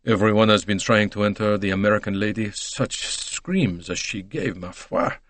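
A man voicing a harassed, excitable French-speaking train conductor in an audiobook, deferential and agitated. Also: lowish voice, moderate pace, no echo, natural voice. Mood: relieved, agitated.

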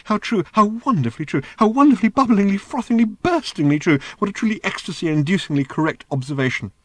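A man's voice: sits high, lively intonation, fast, a bit bright, dry recording, voice pushed up. A man voicing a giddy little flying security robot that squeals and gushes with breathless delight. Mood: gushing.

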